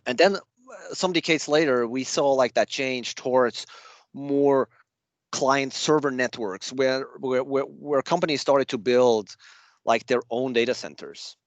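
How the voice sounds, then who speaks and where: A man from Switzerland with a Swiss accent, giving a relaxed slide presentation to a user group over a video call. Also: mid-range voice, no room sound, dull audio. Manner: chatty, loose and self-deprecating. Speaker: guest speaker at a user group. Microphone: remote video call.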